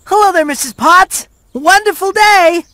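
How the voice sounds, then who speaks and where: A polite little boy mouse hero from a video game, very high and bright. The speech is earnest, neat and eager, with a lively swing, recorded dry. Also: unhurried, no room sound, heavily acted. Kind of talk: scripted game cutscene dialogue.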